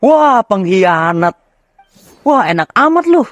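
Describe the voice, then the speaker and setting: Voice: medium pitch, big pitch swings, measured pace, no room sound, dull audio. A native Indonesian game streamer reacting casually, slangy and blunt.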